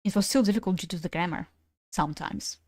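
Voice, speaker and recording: A woman's voice: on the low side, fast, a little mellow, husky, no echo, studio quality. Chatty young woman streamer, Ukrainian native. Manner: chatty, swears casually, laughs between thoughts. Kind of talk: casual gaming stream chat.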